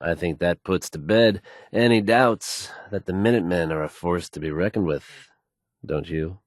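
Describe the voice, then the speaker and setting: A man's voice: sits low, moderate pace, no room sound, clean studio sound, lightly acted. A man playing an assured, folksy radio DJ in a video game, delivering news bulletins to listeners with fluent, polished ease. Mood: proud, assured.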